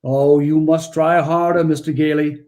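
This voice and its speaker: A man acting a kindly, merry old Santa Claus in a 1940s-style radio play, with gentle, courtly manners. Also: fairly high pitch, level tone, moderate pace, muffled recording, close to an everyday voice. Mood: gently probing.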